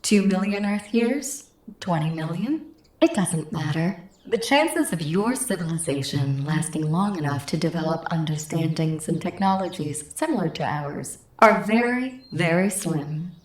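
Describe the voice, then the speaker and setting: A condescending alien woman performed by an actress in a sci-fi radio play. Her voice sits a little low and she pronounces things formally and calmly, as if addressing a lesser species. The recording has a clear roomy echo. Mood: matter-of-fact. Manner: haughty, formal, unhurried pronouncements. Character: calm, condescending female alien visitor in human form.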